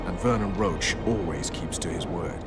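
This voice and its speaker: An actor playing a hard, stubborn military commander in a video game, speaking in a blunt, terse way. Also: male voice, low-pitched, moderate pace, loud music behind the voice, lightly acted. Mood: resolute, grim.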